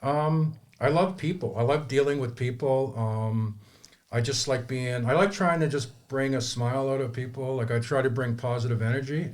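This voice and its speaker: A man's voice: medium pitch, darkish tone. A man in his fifties from Toronto, a community photographer and studio guest, talking in an upbeat, rambling way full of restarts. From Toronto, Canada. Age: 50s. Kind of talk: casual studio chat.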